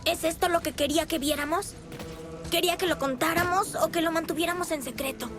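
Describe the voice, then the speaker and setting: A woman's voice: very high voice, steady tone, a little fast, music in the background. A woman voicing an earnest, questioning young boy, the son of a warrior, in a video game. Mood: unsure.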